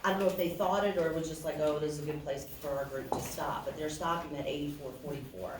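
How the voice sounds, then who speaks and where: Low-voiced woman, very echoey hall. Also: steady tone, quick pace. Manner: chatty, rambling, laughs. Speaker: resident speaking at meeting. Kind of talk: community meeting comment. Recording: very echoey hall, far from mic, noisy.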